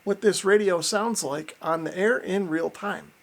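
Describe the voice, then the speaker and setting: A man's voice: high voice, unhurried, vocal fry. Plain-spoken man reviewing gear. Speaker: radio reviewer and host. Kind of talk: casual product review and demonstration.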